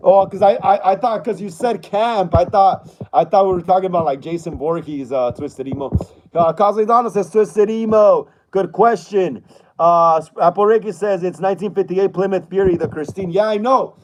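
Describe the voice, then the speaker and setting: A man's voice: high voice, normal speed, a little hiss or hum, rough recording. A man in his thirties hosting a casual livestream, reading viewer comments aloud in a laid-back way and often stammering and repeating himself. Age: 30s. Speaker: livestream host.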